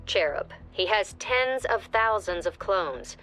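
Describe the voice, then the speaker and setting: A composed female agency director from a video game,. She gives mission briefings in a measured, commanding way. Soft music plays beneath her voice. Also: medium pitch, animated.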